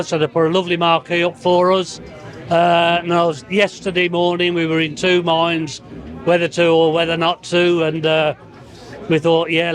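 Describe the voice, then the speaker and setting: A man who runs a bike museum, telling how his display came about in a casual, relaxed way full of pauses. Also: fairly high pitch. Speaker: museum owner, event exhibitor. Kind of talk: casual interview. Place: marquee at an outdoor bike event.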